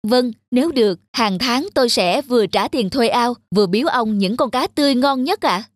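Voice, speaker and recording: High-voiced, meek young peasant. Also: female voice, expressive, a little fast, dry recording, clean studio sound. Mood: earnest. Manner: polite, deferential. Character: meek, honest young peasant man, hard-working. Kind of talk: children's folk-tale cartoon dubbing. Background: background music.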